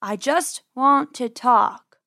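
A woman plays an angry farmer as a storybook villain. He is menacing, drawing out his threats in a raised, high-pitched voice, recorded dry. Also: vocal fry, dry recording, exaggerated voice. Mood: coaxing menace. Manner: yelling, drawn-out threats. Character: angry, menacing male farmer chasing rabbits.

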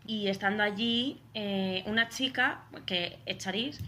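A woman's voice: mid-range voice, measured pace, bright, forceful tone, a little hiss or hum. A young woman from Spain with a Spanish accent, chatting as a podcast guest, full of fillers and restarts. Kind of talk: casual chat.

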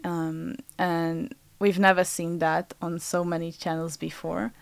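A woman speaking as a guest on a discussion panel, pausing often with fillers. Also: on the low side, flat delivery, vocal fry, clear, resonant tone, no echo. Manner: halting, many fillers.

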